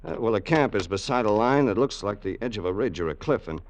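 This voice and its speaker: An actor playing a loyal, wary gangster's sidekick in an old radio crime drama, colloquial and hardboiled. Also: male voice, on the low side, expressive, quick pace. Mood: hesitant, wary. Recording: noisy old radio recording.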